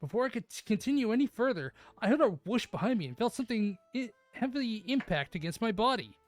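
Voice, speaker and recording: A woman streaming a visual novel read-aloud, mixing expressive narration with casual, playful chat. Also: a little high, fast talker, dry recording.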